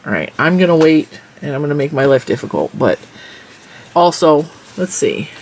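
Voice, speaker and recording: A woman's voice: deep voice, big pitch swings, normal speed, a little mellow, slight background noise, rough recording. A congested, stuffy-nosed woman hosting a casual crochet tutorial, chatting as she counts stitches and rows.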